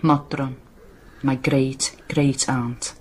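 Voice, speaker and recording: An actress playing a curious, probing, lonely Welsh village gardener in an intimate radio drama. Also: female voice, extremely low pitch, little pitch movement, drawn-out words, slight background noise, full character voice. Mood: probing, knowing.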